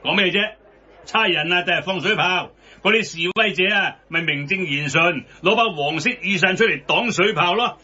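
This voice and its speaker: Radio satire: blustery middle-aged know-it-all, forceful bright tone, slightly high, Hong Kong Cantonese, preachy. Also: male voice, normal speed, slightly rough, dull audio, heavily acted. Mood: mocking. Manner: scolding, preachy, colloquial. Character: blustery, scolding older fat man, worldly-wise. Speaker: radio drama actor.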